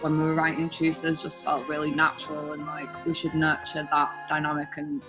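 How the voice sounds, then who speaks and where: A woman's voice: sits low, completely flat delivery, normal speed. A woman speaking casually as a band's singer being interviewed. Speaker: singer in a band, interview guest. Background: clearly audible music.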